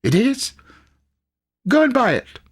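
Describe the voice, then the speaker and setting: An excitable old miser from a Victorian storybook. The voice leaps high and swings widely, stammering and repeating words in breathless exclamations, with the fervor of someone repentant. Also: male voice, slow, breathy edge, full character voice. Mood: giddy delight. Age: older.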